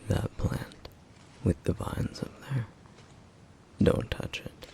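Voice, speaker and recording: A man voicing a stern yet caring flame demon in an ASMR roleplay, speaking in a hushed, gravelly whisper with measured, formal phrasing. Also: a little hiss or hum, lightly acted. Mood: calm, instructive. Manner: measured, formal, slightly old-fashioned phrasing.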